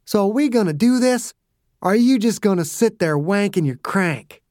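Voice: mid-range voice, animated, normal speed, a little mellow, no echo, studio quality, pitched above the performer's own voice, close to an everyday voice. A reader voicing a tough, older male fighter who goads his opponent with a sneer. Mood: mocking. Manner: sneering, goading. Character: taunting tough older man, ex-soldier type. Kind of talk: audiobook character voice.

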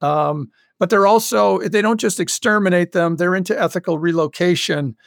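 A man being interviewed as a show creator, chatting casually in a halting way with wry, humorous asides. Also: sits high, creaky, no echo, studio quality. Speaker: podcast guest, audio drama creator. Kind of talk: casual animated interview chat.

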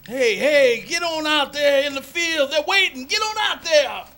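A gruff, scoffing horse owner. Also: male voice, very high-pitched, animated, unhurried, bright, forceful tone, full character voice. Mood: hearty. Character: gruff skeptical middle-aged male racehorse owner. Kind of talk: 1940s radio acting.

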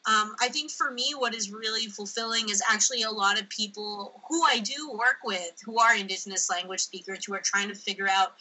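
A woman's voice: mid-range voice, low-quality recording. A woman speaking as a researcher guest in an interview, talking animatedly, laughing now and then and acting out what people say. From United States. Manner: animated, laughs, acts out quoted speech. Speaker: linguistic anthropologist guest. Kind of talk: conversational interview answers.

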